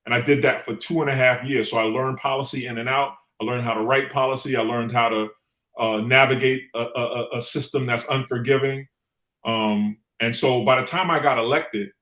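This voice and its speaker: A man's voice: mid-range voice, a bit bright. A middle-aged man from New York City speaking as an interview guest, telling stories conversationally and repeating phrases for emphasis. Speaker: guest, former city council member. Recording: echoey room sound.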